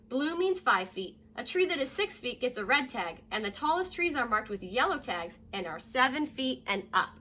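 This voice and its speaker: Young woman, American accent, high bright voice, children's read-aloud, teacherly delivery. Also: husky. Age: young adult. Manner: animated, addresses young listeners with questions. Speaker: teacher reading a picture book aloud. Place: living room at home, indoors.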